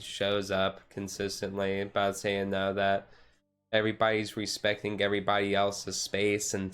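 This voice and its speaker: A man with a fairly low, smooth voice talks casually on a chilled-out stream. His delivery stays quite flat in pitch. He rambles and hesitates, often doubling back over a phrase before moving on. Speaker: vtuber streamer.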